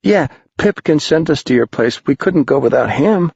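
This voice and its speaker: Man putting on an eager, high-voiced young boy. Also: lively intonation, normal speed, vocal fry, darkish tone, breathy edge, no room sound, dull audio, exaggerated voice. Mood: nervous, earnest. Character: eager, anxious young boys in a gang.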